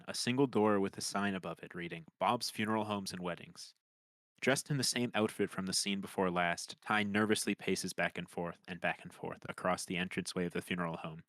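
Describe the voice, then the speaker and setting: Deep-voiced man reading stage directions. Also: brisk, a bit bright, no echo. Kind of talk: scripted screenplay narration at a table read.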